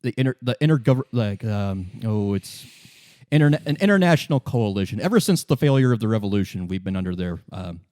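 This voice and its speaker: Game master man with very lively intonation. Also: on the low side, fast, no room sound, clean studio sound. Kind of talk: improvised tabletop game narration.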